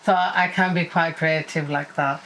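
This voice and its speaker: Female, middle-aged. Slightly low voice, casual chatty delivery, faint hissy background noise. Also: steady tone, normal speed, fairly bright tone, low-quality recording. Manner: informal, chatty. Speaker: mother of the documentary's subject. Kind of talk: casual chat.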